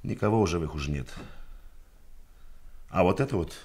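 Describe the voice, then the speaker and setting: An actor playing a calm, dry-humoured police investigator, unhurried and understated, in a radio drama. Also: male voice, low voice. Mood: grave, patient. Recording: noisy old radio play recording.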